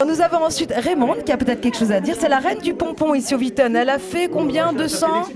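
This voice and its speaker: A woman's voice: high-pitched, fast talker. A woman leading a care home show, introducing the performers in a playful, teasing way. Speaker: care home activity leader hosting the show. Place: care home common room. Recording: crowd noise behind the voice. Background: audience of residents.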